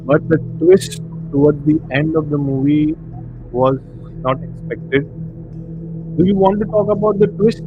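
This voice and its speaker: A man's voice: fairly high pitch, measured pace. A man hosting a casual livestream movie discussion, speaking conversationally and repeating phrases for emphasis. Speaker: host of a horror discussion livestream. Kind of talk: casual movie review chat. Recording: muffled audio with clear background music. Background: music bed under the voice.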